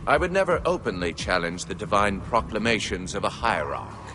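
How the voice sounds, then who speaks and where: Solemn alien record-keeper, low and formal, uneasy, game music under. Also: male voice, sing-song. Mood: grave. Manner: formal, measured narration. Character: grave, anxious alien male scribe. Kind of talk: video game cutscene narration.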